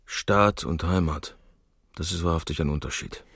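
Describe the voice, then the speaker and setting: An actor playing a calm, worldly-wise veteran soldier in a German radio drama, reasoning in a measured, reflective way. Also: male voice, low voice, husky, no room sound, clean studio sound, heavily acted. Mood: agreeing.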